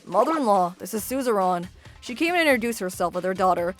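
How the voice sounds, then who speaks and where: A woman's voice: medium pitch, expressive, fast talker, natural voice. A woman voicing a friendly, ordinary young wife who tells her story expressively in a manga dub, over background music. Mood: polite, friendly. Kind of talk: scripted manga dub narration.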